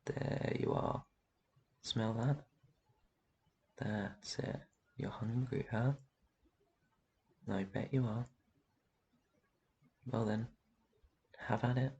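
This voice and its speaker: A man playing a very old, protective vampire master in an intimate roleplay ASMR, speaking gently and reassuringly with tender endearments. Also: mid-range voice, steady tone, very slow, creaky, pauses often, husky, lo-fi sound, heavily acted. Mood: gentle, encouraging. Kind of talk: roleplay ASMR, intimate one-sided dialogue.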